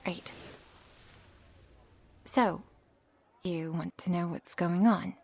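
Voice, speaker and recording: A woman playing a flustered, earnest young witch who reads tarot, in an ASMR roleplay with a halting manner. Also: on the low side, fairly even intonation, a little mellow, heavily acted. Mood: earnest, chatty. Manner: halting, breathy asides, nervous little laughs and sighs. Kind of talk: ASMR roleplay acting, addressed to the listener.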